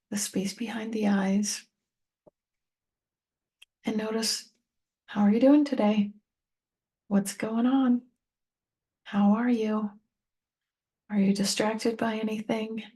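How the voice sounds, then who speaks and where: A woman leading a guided meditation, speaking gently and unhurriedly to the listener in short, spaced-out phrases. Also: medium pitch, steady tone, slow, pauses often, slightly rough. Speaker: meditation teacher and tapping coach.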